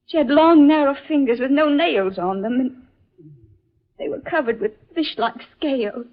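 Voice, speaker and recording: A woman's voice: sits high, moderate pace, natural voice. An actress playing a frightened young woman at sea in a 1940s radio drama, speaking with dramatic dread. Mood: horrified. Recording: muffled, hazy old radio recording.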